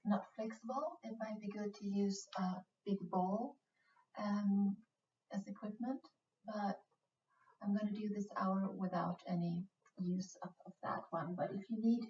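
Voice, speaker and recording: A woman from Sweden with a Swedish accent, a native Swedish speaker, teaching a yoga class in English, speaking slowly and patiently with frequent hesitations. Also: medium pitch, roomy sound, recorded from a distance, dull audio, slight background noise. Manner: slow, patient, with many hesitations. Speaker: yoga instructor. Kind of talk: guided yoga class instruction.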